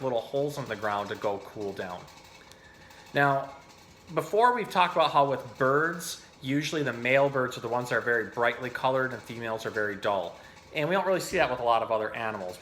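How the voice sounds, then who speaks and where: A man with a medium-pitched, bright and forward voice hosts an educational animal storytime. He speaks as a zookeeper in an informal, explanatory way, suited to both casual teaching and reading from a storybook.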